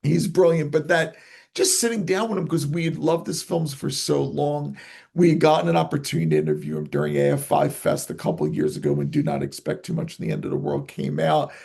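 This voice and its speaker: Gravelly, husky man, enthusiastic. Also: a little high, sing-song, fairly quick, a little mellow. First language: Italian. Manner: enthusiastic, rambling with restarts. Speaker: guest filmmaker. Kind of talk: casual interview talk.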